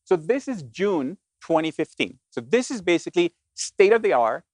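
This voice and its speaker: A man's voice: fairly high pitch, sing-song, moderate pace, darkish tone, no room sound. A middle-aged man from Colombia, giving an earnest business keynote with frequent restarts. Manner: earnest, many restarts and filler words. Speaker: conference speaker.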